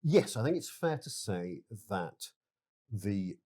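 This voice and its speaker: A man with a fairly low voice speaks as a game developer being interviewed. He is hesitant and careful, feeling his way through each answer in halting phrases. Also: sing-song, dark tone.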